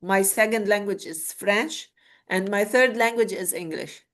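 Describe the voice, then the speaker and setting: A woman's voice: mid-range voice, a little slow, a bit bright. A woman from Lebanon with a Lebanese Arabic accent, leading an interactive online workshop and often checking in with "okay?". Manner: repeats words, checks in with "okay?". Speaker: webinar trainer.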